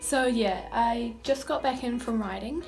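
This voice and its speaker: A woman's voice: fairly high pitch, a little slow, vocal fry, darkish tone, soft background music, poor audio. A teenage girl with a New Zealand accent, chatting casually and informally as she vlogs on a phone held at arm's length. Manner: giggly, informal. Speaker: vlogger. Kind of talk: casual vlog chat. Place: rooms at home, then stable and paddock.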